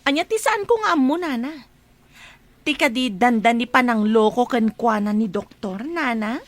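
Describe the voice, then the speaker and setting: An indignant young woman confronts her elder with pointed accusations, as an actress plays her. Her voice is high and the sound is dry and close. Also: animated, normal speed, no room sound, lightly acted.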